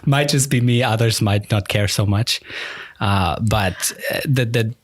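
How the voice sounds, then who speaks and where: A German man with a fairly low voice hosts a casual podcast chat. He rambles a little, often restarts his sentences and pokes fun at himself. The sound is dry and close, with no room echo. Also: a little slow. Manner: rambling, self-deprecating, frequent restarts. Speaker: podcast host. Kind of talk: casual conversation.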